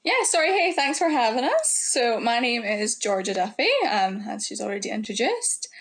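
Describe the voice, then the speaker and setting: A woman's voice: fairly high pitch, lively intonation, moderate pace, a bit bright. A woman in her twenties with a Scottish accent, chatting casually as a guest in an interview. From west coast of Scotland. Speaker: podcast guest, student nurse starting work.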